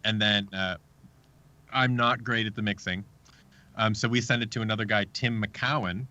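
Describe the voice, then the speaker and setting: A man's voice: on the low side, steady tone, normal speed, pushed and bright, highs cut off. A man talking casually as an interview guest, an audio drama producer who tells stories by acting out the exchanges. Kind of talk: casual chat.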